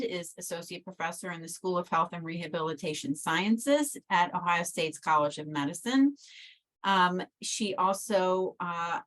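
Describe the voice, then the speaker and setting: A woman's voice: lowish voice, fairly bright tone. A woman speaking as a webinar moderator, giving a formal, prepared introduction over a video call.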